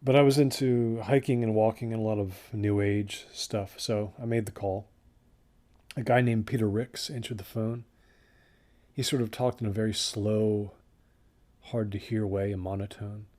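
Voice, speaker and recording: A man's voice: lowish voice, fairly even intonation, moderate pace, mellow, lightly acted. A man playing a young student hiker recalling a trip, talking casually and hesitantly as if in a documentary interview. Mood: reflective, subdued. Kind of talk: naturalistic documentary interview acting.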